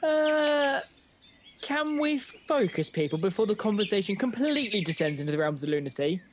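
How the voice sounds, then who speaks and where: A woman's voice: medium pitch, very animated, normal speed, natural voice. A woman playing a pompous, sensible, exasperated family member in a radio pantomime. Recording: clear background noise.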